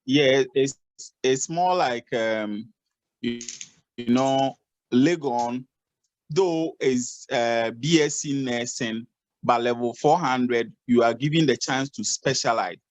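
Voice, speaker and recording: A man's voice: medium pitch, slow pace, no echo. A man gives advice on a video call, often repeating and restarting his phrases. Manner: repetitive, restarts phrases, advisory. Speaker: nursing adviser on the call. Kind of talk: informal video call explanation.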